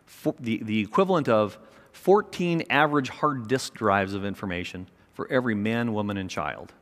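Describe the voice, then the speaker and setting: A middle-aged man giving an energetic, emphatic keynote speech on a large conference stage, with a small mic. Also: lowish voice, normal speed. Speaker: keynote speaker, company chairman. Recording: long reverb of a large hall.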